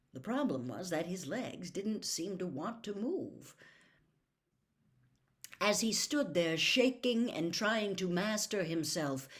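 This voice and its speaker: A woman narrates a storybook at a slow, deliberate pace with a mid-range voice. Her reading is dramatic and expressive, using hushed emphasis to build suspense. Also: mid-range voice. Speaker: storyteller reading a novel aloud.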